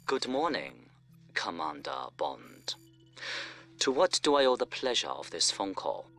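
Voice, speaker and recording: A grave, low-voiced colonel. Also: male voice, big pitch swings, pushed and bright, faint music behind, exaggerated voice. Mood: guarded, formal. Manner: measured, formal, speechifying. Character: guarded middle-aged Chinese intelligence colonel, grave and deliberate. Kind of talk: dramatic video game dialogue.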